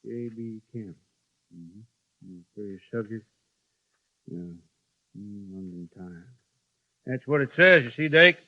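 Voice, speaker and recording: A folksy, menacing frontier boss. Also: male voice, mid-range voice, lively intonation, takes it slowly, pauses often, sharp tone, no room sound, exaggerated voice. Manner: folksy drawl with a veiled threat. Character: genial but menacing frontier town boss. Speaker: town boss who lays down the law. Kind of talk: 1950s radio drama acting. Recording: dry, dull old radio broadcast.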